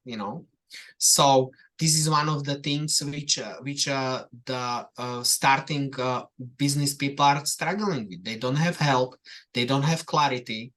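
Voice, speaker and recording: A man's voice: mid-range voice, unhurried, a bit bright. Hesitant man on a podcast. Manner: hesitant, many "uh" fillers. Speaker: business coach. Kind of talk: casual podcast conversation.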